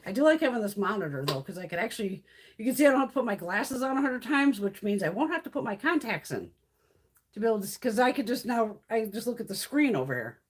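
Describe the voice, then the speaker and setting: A middle-aged woman hosts a casual beauty live stream and rambles through stories with loose, lively swings in her voice. Her tone is husky and slightly worn, as if she is starting to lose her voice, and she swears freely and laughs a lot. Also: fairly high pitch, quick pace. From Connecticut, USA. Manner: swears freely, laughs often, chatty storytelling.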